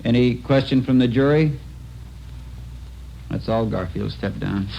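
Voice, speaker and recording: An actor playing a dry, official coroner questioning in a formal, impersonal way in a 1950s radio drama. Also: male voice, medium pitch, unhurried, vocal fry. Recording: muffled, noisy old radio recording.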